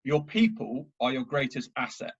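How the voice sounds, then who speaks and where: A man's voice: mid-range voice, animated, moderate pace, breathy edge, lo-fi sound. A man, a recruitment leader, speaking as a guest on a webinar panel, joking at first and then explaining point by point. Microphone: video call.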